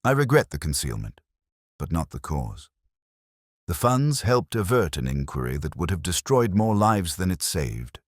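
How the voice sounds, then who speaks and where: Deep-voiced man reading a woman's formal confession. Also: very animated, normal speed, no echo, studio quality. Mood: solemn, formal. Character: formal, remorseful but unrepentant woman writing a confession.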